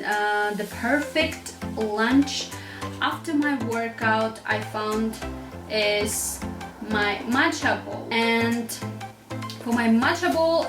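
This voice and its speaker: A slightly high-voiced woman in her late twenties chats upbeat to her viewers in careful, English, with hesitant phrasing and a few stumbles. She grew up in Russia. The room sounds echoey, and soft music plays underneath. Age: 20s. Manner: chatty, upbeat, hesitant non-native phrasing. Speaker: vlogger. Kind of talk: casual talk to camera and cooking voice-over. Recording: roomy sound with faint music behind.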